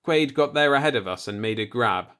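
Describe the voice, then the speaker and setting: A man's voice: medium pitch, lively intonation, brisk, bright, forceful tone, clean tone. A man reading a short story aloud as a scripted audiobook narration.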